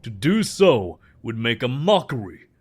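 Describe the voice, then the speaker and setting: A man's voice: medium pitch, very animated, measured pace, slightly rough. A man playing a stern, proud, ancient vampire in an audio roleplay, speaking in a formal, solemn way.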